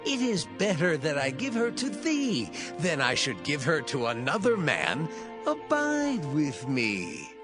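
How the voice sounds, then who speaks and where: An actor playing an older, shrewd biblical patriarch in a dramatized scripture reading, with theatrical, emphatic delivery. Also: male voice, on the high side, huge pitch swings, measured pace, music in the background. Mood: agreeable.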